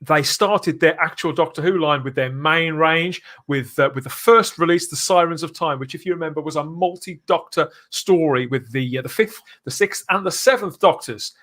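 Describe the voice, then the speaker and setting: A man's voice: a little high, normal speed, few pauses, a bit bright. A man hosting a casual live podcast, chatting through news and comments with frequent rhetorical tag questions. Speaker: podcast host.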